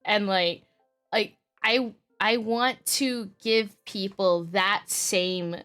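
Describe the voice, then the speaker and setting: A woman's voice: mid-range voice, steady tone, drawn-out words, a bit bright, smooth, soft background music. A young woman, a streamer, chatting casually with lots of fillers and easy laughter. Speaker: streamer and podcast host. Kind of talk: casual chat.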